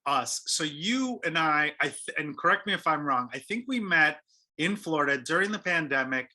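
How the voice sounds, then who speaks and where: A man's voice: on the high side, expressive, moderate pace, sharp tone. A man hosting a casual podcast interview, chatty and asking probing questions. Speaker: podcast host.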